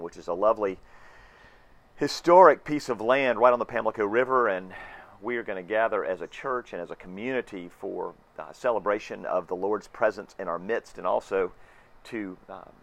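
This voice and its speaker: A man, hoarse-voiced, preaching an earnest and reflective sermon with emphatic repetition. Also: lowish voice, a bit bright. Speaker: pastor.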